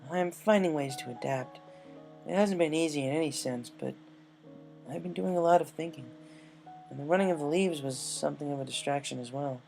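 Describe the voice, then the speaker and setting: A man voicing a tough but protective young female pony in a story, speaking gently but sternly. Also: on the high side, fairly quick, creaky, soft background music, voice pushed up. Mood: subdued. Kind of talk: dramatic fan-fiction story reading.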